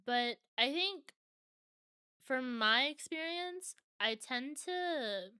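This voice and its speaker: A woman's voice: high-pitched, lively intonation, extremely slow, sharp tone, clean tone, no room sound, clean studio sound. A young adult woman streaming from home, chatting casually with viewers and answering their comments.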